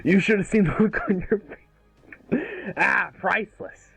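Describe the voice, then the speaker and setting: A performer voicing a cocky, excitable ten-year-old boy hero with a boastful delivery in an amateur cartoon read. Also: medium pitch, unhurried, vocal fry, breathy edge, dry recording, soft background music, exaggerated voice. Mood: smug, mocking. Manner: boastful, exclamatory.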